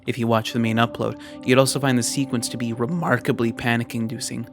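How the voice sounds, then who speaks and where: A man hosting a video essay, reading scripted commentary in a measured, wry way and stretching words for emphasis. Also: mid-range voice, steady tone. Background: background music.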